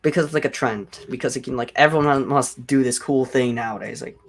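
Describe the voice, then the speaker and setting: A man's voice: a little fast, background noise. Rambling young man hosting a livestream. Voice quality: self-described deep voice. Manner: rambling, heavy use of "like". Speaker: livestream host. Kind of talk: casual livestream chat.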